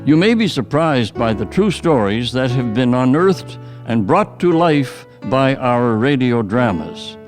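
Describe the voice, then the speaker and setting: Animated male radio announcer over guitar. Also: medium pitch, measured pace. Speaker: programme announcer and host. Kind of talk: scripted radio drama introduction. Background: plucked guitar music.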